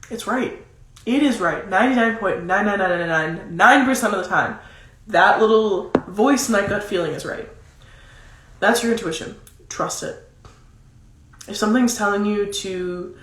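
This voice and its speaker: A woman's voice: mid-range voice, a bit bright. Congested, nasal woman in an echoey room. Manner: emphatic, repeats key phrases for stress. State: congested with a cold. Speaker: motivational coach. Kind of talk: motivational advice talk, casual. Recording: roomy, echoing sound.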